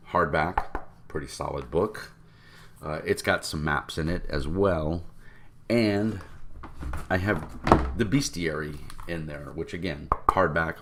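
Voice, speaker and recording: A man with a deep, froggy voice from a cold, rambling casually to his viewers about tabletop games. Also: background noise. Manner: rambling, with many fillers. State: congested from a cold. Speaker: tabletop game vlogger. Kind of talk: casual rambling talk to camera.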